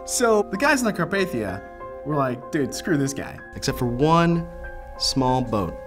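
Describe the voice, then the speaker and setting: Male comic storyteller, very animated pitch, slangy and performative, music clearly underneath. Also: unhurried. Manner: slangy, acts out quotes. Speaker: comedian talking head.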